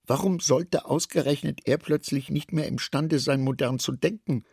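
A man reading scripted literary narration for a radio play in a measured, expressive way. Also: a little high, creaky, husky, no echo, clean studio sound.

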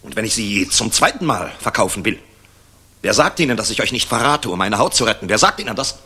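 An actor playing a bitter, defiant German air force general in a 1960s radio drama, with a husky, often breathy voice and an intense, probing manner. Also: male voice, lowish voice, lively intonation, brisk, heavily acted. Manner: intense, probing rhetorical questions, swinging from hushed to explosive.

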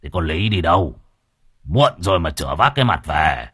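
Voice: sits low, very animated, normal speed, fairly bright tone, slightly rough, voice pushed down, heavily acted. A storyteller voicing a hot-tempered, half-drunk husband who curses and blusters. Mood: gruff. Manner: growling, cursing, blustering.

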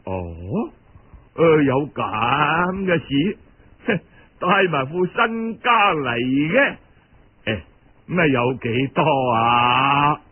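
A man voicing a pompous, greedy older Cantonese uncle in a storytelling performance, full of exclamations and drawn-out interjections. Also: a little high, big pitch swings, slightly rough, narrow, tinny audio, pitched below the performer's own voice, exaggerated voice. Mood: astonished, greedy. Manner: exclamatory, moralizing then wheedling, drawn-out interjections.

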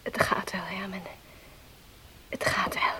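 An actress playing a frail, devout young wife in a radio drama, breathy, solemn and halting. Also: female voice, sharp tone, low-quality recording. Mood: weak, devout. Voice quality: breathy, often near whisper. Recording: hissy old radio play recording.

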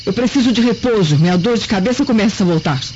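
A woman's voice: medium pitch, animated, fast, a little mellow, a little hiss or hum, natural voice. A woman playing an impatient, evasive Roman wife in a radio drama, with a dismissive, theatrical manner. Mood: impatient, defensive. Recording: dull lo-fi audio with music.

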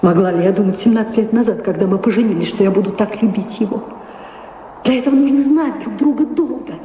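A woman's voice: mid-range voice, fast, mellow, close to an everyday voice. Actress as imperious, worried lady of the castle; theatrical radio-play delivery, long reverb, noisy recording. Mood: earnest, insistent. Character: anxious imperious married noblewoman, mistress of a castle. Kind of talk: audio play stage acting.

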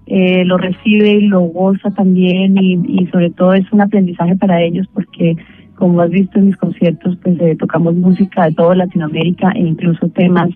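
A middle-aged woman from Bogotá with a Colombian accent, a singer speaking as an interview guest, measured and grateful with frequent pauses. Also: fairly low pitch, level tone. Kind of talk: phone interview. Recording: tinny phone line with faint music.

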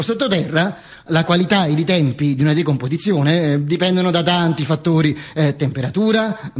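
An actor playing a vain, ranting, self-mocking dead dictator in an emphatic, declamatory theatrical radio monologue. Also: male voice, a little high, breathy edge. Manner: emphatic, declamatory, with insistent repetitions and interjections. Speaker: stage actor performing own monologue.

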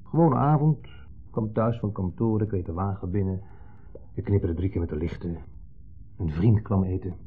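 A man's voice: low-pitched, moderate pace, pauses often, breathy edge. A male actor playing a smug, needling ex-husband in a 1970s Dutch radio drama, theatrical and falsely jovial in an insinuating way. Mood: nostalgic, needling. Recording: muffled old 1970s radio recording.

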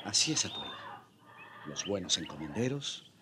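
An actor in a radio drama playing a stern, pious Spanish chaplain with a husky voice and a preachy, urging manner. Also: male voice, sits low, very animated, normal speed, pushed and bright, a little hiss or hum, full character voice. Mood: preaching. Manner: preachy, urgent.

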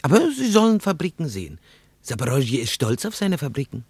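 An actor playing a nervous, eager young Russian interpreter in a radio play, speaking formal German earnestly. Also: male voice, mid-range voice, sing-song, brisk, a little mellow, husky, no echo. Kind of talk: 1950s radio play acting.

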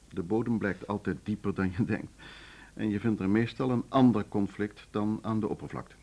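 An actor in a Dutch radio play, playing a formal, courteous older board chairman who speaks in a measured, diplomatic way. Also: mid-range voice, mellow.

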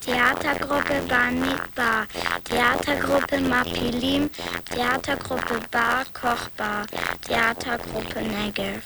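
A woman narrator giving a slow, measured scripted documentary reading. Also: on the high side, steady tone, gravelly. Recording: noisy background ambience.

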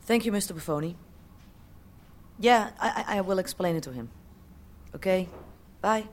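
Radio drama go-between, female actor: businesslike, insistent, medium pitch, faint ambience behind. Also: moderate pace, a little hiss or hum, lightly acted. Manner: careful, insistent. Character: businesslike go-between for shady investors.

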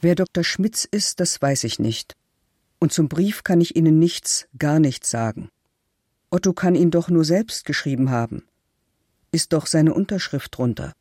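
A dry, close recording of a man with a slightly high voice, testifying for a documentary. He defends himself and his friend in short, emphatic statements. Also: lively intonation, unhurried, a little mellow, no echo. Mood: insistent denial. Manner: emphatic, short declarative sentences.

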